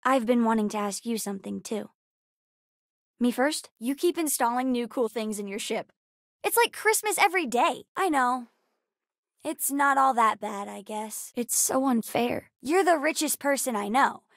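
Space-game kid: high-pitched, earnest, inquisitive girl with a cheeky streak, recorded dry. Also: animated, normal speed, no room sound. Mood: eager, chatty. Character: bright, curious, bookish young girl aboard a spaceship. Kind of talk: video game character voice lines.

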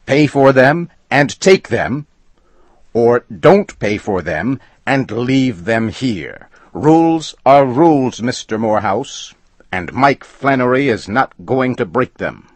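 A man's voice: medium pitch, lively intonation, slow, no echo, pitched above the performer's own voice. A man voicing a stubborn, rule-bound male express agent who talks in a folksy dialect. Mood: shouting.

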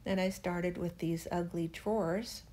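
Slow, relaxed older woman narrating. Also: fairly low pitch. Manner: relaxed, chatty, gently enthusiastic. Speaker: furniture refinisher. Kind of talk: voice-over narration of a restoration.

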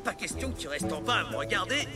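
A man's voice: high-pitched, sing-song, quick pace, loud music behind the voice. An actor playing a stern, bossy caveman father in a cartoon, commanding and curt. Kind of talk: French cartoon dubbing.

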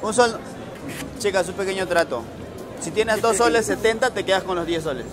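A man's voice: sits high, moderate pace. A young man filming a street challenge vlog at night on a busy city street, coaxing strangers with an upbeat manner and laughing often. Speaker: street vlogger. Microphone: handheld camera following him. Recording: crowded street noise. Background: crowd chatter and passing traffic.

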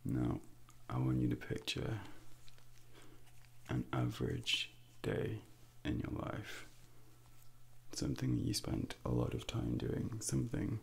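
A man playing a suave, ominous Devil in a soft-spoken ASMR roleplay, slow and deliberate, close to the mic with hushed whispers. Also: very deep voice, sing-song, vocal fry, slightly rough, exaggerated voice. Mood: coaxing, probing. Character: suave, ominous male Devil probing a soul. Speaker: ASMR performer. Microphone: close binaural microphone. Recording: close-up with faint background noise.

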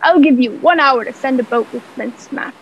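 A high, creaky-voiced pirate ringleader, acted by a woman in a radio play. He is wily and swaggering, with mock-courteous menace in his sailor talk. The recording is a little dull. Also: normal speed, slight background noise. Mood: commanding, threatening. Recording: dull, muffled home recording.

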